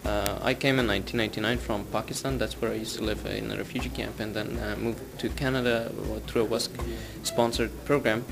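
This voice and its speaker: A man from Afghanistan, a former refugee student, speaking earnestly with some halting restarts. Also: on the low side, steady tone, gravelly, noisy background. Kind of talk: interview testimonial.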